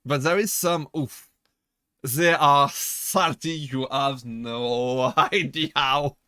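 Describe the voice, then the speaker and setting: A man's voice: medium pitch, takes it slowly, pushed and bright, clear, resonant tone, no echo, clean studio sound. A young man from France with a French accent, chatting casually with his live-stream chat, laughing often and restarting his sentences as he jokes. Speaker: VTuber streamer.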